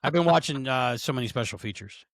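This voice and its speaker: A man's voice: mid-range voice, animated, fast, no echo. A man co-hosting a casual podcast chat, hesitant, often repeating words and giving strong opinions. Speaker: podcast co-host.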